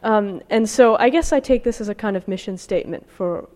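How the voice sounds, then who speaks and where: A woman's voice: medium pitch, a little fast, a little mellow. Woman lecturing in a reverberant hall. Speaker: academic lecturer presenting research. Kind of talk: academic talk with slides, reading out quotations.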